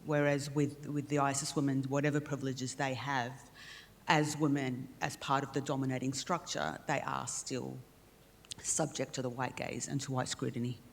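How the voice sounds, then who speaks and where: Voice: level tone, moderate pace, gravelly. A panel speaker giving a talk at a conference. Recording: noisy, rumbling, reverberant hall.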